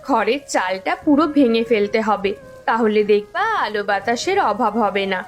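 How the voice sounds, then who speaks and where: A woman's voice: mid-range voice, lively intonation, normal speed, soft background music, natural voice. A woman voicing a stubborn, resourceful young village wife in a cartoon, speaking insistently and defiantly. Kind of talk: Bengali village cartoon dubbing.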